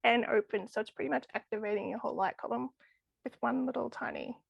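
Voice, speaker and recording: A woman's voice: on the high side, fast talker, vocal fry, dull audio. A woman losing her voice, talking enthusiastically about crystal healing as a podcast guest. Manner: enthusiastic, chatty with frequent laughs. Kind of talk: casual podcast interview.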